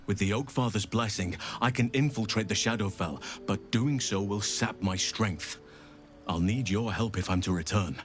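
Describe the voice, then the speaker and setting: Deep-voiced, grave male druid played by an actor. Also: faint music behind, lightly acted. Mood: resolute, urgent. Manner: measured, grave, commanding. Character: solemn, resolute male druid healer with grave authority. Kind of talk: dramatic fantasy video game acting.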